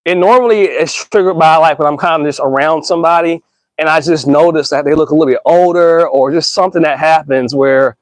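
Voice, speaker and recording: A man in his thirties with a notably high voice, talking to his listeners in an earnest, rambling way. He slips into slang, restarts his sentences and calls everyone bro and y'all. The sound is close and dry, with no room around it. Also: fairly quick, no echo, poor audio. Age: 30s. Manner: rambling with frequent restarts and stammers. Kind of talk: casual reflective monologue.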